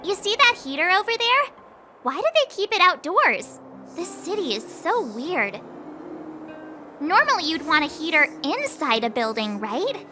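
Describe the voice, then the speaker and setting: A woman's voice: very high voice, sing-song, measured pace, sharp tone, a little hiss or hum, full character voice. A woman voicing a perky, curious young girl adventurer in a video game, bubbly, casual and outspoken. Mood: chatty, puzzled.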